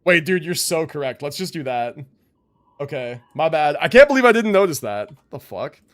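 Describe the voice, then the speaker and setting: A man's voice: on the high side, big pitch swings, moderate pace, fairly bright tone. A young man chatting casually to his livestream viewers as a game streamer, informal and rambling, with free swearing.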